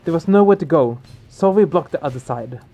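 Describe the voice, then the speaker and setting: Higher-pitched man hosting a let's play. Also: fairly quick, dull audio, faint music behind. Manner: expressive, reactive. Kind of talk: reading game story aloud with reactions.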